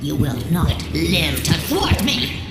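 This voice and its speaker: A woman's voice: low voice, very animated, takes it slowly, heavily acted. A woman playing a menacing ancient monster villain in a video game, gloating and declaiming in archaic phrases. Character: menacing ancient female villain, a monstrous glyph-wielding being. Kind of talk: theatrical video game cutscene acting. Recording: echoing voice under loud music.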